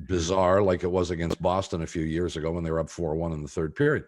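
An older man with a deep, low voice hosts a sports podcast. He speaks deliberately and haltingly, leaving pointed pauses as he lays out his opinions. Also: steady tone, darkish tone. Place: home room on a video call.

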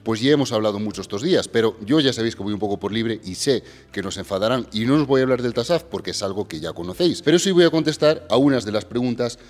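A man's voice: mid-range voice, fast talker, few pauses. A man in his thirties hosting, speaking straight to viewers with energy, holding a handheld mic in a large gym hall. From Galicia. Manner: energetic, direct address to viewers. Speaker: host. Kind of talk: presenter pitch and interview. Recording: reverberant hall with background music.

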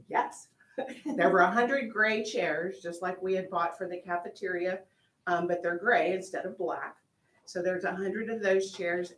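Woman chatting away at a board meeting, kinda low voice, echoey meeting room, picked up by a far camera. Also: a bit bright. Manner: chatty storytelling with fillers and laughs. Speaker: school staff member presenting to the board. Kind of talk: informal presentation at a meeting. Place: meeting room, large indoor.

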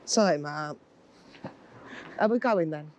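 A woman's voice: low voice, slow, a little mellow, highs cut off. A young woman bantering cheekily with her co-host in a car. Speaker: prank show co-host. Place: inside a car.

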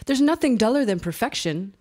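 A woman's voice: fairly high pitch, lively intonation, brisk, darkish tone, voice pushed up. A woman voicing a once-ivory statue woman, now flesh, who speaks plainly and knowingly.

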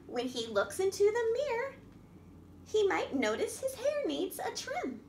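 A woman with a very high, swooping voice reads a picture book to young children. She takes her time, in the sing-song manner of a library storytime host. The room around her is echoey. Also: huge pitch swings, unhurried, a little mellow. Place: indoor room at a wooden table by a window. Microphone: camera across the table. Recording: echoey room with background noise.

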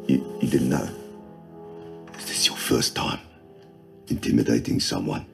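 A man's voice: very deep voice, unhurried, vocal fry, music in the background, full character voice. An actor playing a sardonic middle-aged male arms dealer with a drawling manner, on a film soundtrack.

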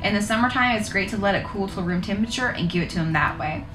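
A woman's voice: medium pitch, lively intonation, quick pace, echoey room, over music. A woman in her thirties giving a casual how-to talk to the camera from her living-room couch, chatty and conversational with fillers and restarts. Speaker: homesteading author and video host.

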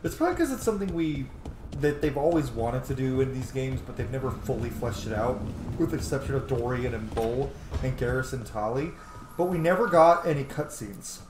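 A man's voice: medium pitch, expressive, fairly quick, a little mellow. A chatty, gushing man in his thirties giving casual commentary as he hosts a game playthrough. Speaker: gaming host. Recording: roomy sound with faint noise.

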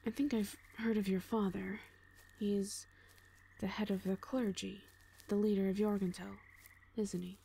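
A woman voices a commanding female orc mercenary in an audio roleplay. The voice sits at a middle pitch, calm and reassuring, with short, plain, measured phrases. There is faint forest noise behind. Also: vocal fry. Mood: calm reassurance. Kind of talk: audio roleplay drama acting.

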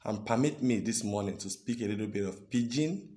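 A man's voice: fairly low pitch, dark tone. A man in his thirties from Nigeria with a Yoruba-tinged Nigerian accent, chatting earnestly to his phone camera in a small room and often checking in with "okay?". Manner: chatty, earnest, drawn-out words and frequent "okay?". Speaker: vlogger. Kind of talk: casual selfie vlog talk. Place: indoors, small room with curtains. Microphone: phone at arm's length.

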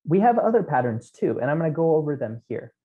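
A man's voice: a little high, very animated, fast. A man in his thirties giving a technical keynote from a small room, explaining code step by step with frequent ums. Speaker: conference keynote speaker. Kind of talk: technical conference talk. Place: small room at home, indoors. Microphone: headset. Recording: dull lo-fi remote talk audio.

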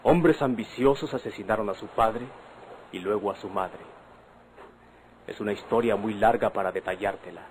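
An earnest young Mexican husband played by a male actor in an old radio drama. His low voice argues in measured, formal phrases, persuasive and pleading. The vintage recording is noisy. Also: lightly acted. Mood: insistent.

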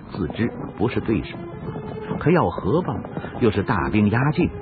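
A man narrating a historical radio drama as a scripted, dramatic storyteller. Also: fairly low pitch. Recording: dull recording with music behind. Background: music.

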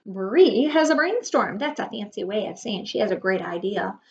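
A woman's voice: medium pitch, expressive, normal speed, a little mellow. A middle-aged woman preschool teacher reading a picture book aloud expressively to young children, with dramatic emphasis and simple explanations. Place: indoor room at home. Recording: dull webcam audio with faint noise.

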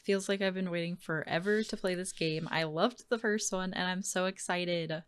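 A woman's voice: lowish voice, moderate pace, clean tone. A woman hosting a gaming video with casual let's-play commentary into a close microphone. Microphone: close dynamic microphone.

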